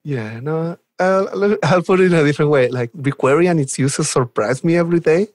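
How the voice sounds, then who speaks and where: A man's voice: a little high, fairly quick, a little mellow, a little hiss or hum. A man from Chile with a Chilean Spanish accent, chatting casually and enthusiastically as a podcast guest. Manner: enthusiastic, chuckles while talking. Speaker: guest, software developer advocate. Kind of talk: casual podcast interview chat.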